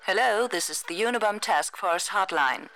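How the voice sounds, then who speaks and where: A woman's voice: mid-range voice, lively intonation, normal speed, rough recording. A woman reading a formal, instructional recorded phone menu as a hotline announcer.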